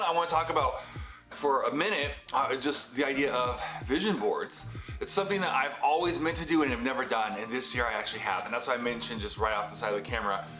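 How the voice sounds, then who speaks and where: A man in his thirties talking casually to the camera in a home office, rambling and correcting himself as he goes. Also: mid-range voice. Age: 30s. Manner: rambling, filler-heavy and self-correcting. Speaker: business vlogger. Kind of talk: casual talking-head vlog. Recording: echoey room with light music. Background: heater humming.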